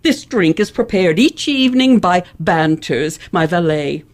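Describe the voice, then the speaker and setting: A woman's voice: on the low side, expressive, unhurried. Woman doing a haughty victorian society lady for a radio play, pompous, boastful, every word carefully pronounced. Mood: indignant, grave. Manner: pompous, grandiose, precise enunciation. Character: haughty, wealthy, indignant lady elocutionist of 1870s New England. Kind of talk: old-fashioned radio play acting.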